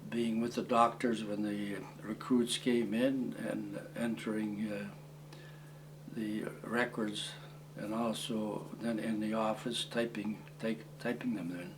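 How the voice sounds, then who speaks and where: A man in his eighties, originally from Croatia, recalling his past in an oral history interview, speaking haltingly with many fillers and restarts. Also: lowish voice, flat delivery, background noise. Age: 80s. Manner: plain, matter-of-fact storytelling. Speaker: war veteran interviewee.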